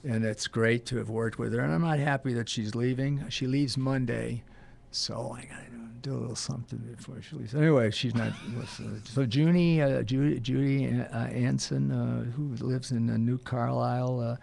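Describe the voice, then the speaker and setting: A gravelly older man rambles through a guest introduction on a radio talk show, stopping for fillers and half-finished thoughts. His pitch sits in an ordinary middle range. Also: fairly even intonation, normal speed, a little mellow. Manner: rambling, hesitant with many fillers.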